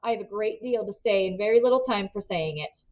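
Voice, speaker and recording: Sinister gentleman caller, played by a female reader: mild, formal, coolly courteous, storybook menace, slightly muffled audio. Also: mid-range voice, brisk, close to an everyday voice. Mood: coolly insistent. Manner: formal, smooth politeness. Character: mild, coolly polite, sinister male stranger.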